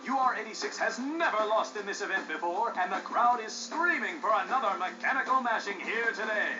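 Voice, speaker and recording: An actor playing a flamboyant, smug racing show host in a video game, showy and hyped. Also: male voice, high-pitched, expressive, brisk. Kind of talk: over-the-top sports broadcast commentary. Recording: dull audio under music.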